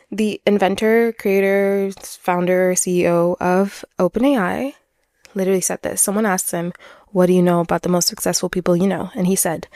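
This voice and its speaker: A woman in her twenties whose first language is French talks alone as a podcast host. Her voice sits fairly low and is recorded close and dry. She is chatty and earnest, speaking candidly with a self-deprecating streak. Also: darkish tone, dry recording. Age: 20s. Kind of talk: candid solo podcast monologue, casual. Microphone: close podcast microphone.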